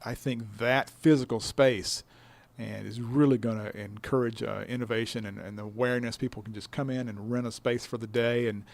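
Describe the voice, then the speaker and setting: A man's voice: mid-range voice, fairly even intonation, moderate pace, creaky. A man speaking conversationally as an interviewed director, explaining things in a folksy, stop-and-start way. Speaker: business incubator director being interviewed.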